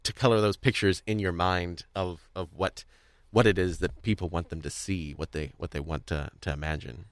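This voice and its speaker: A young man with a fairly low voice chats casually as a podcast host. He talks in long, winding, hedged sentences. The sound is very dry, with no room echo. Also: steady tone, normal speed. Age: young adult. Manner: rambling, hedging, wordy. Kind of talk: casual chat about audio drama craft.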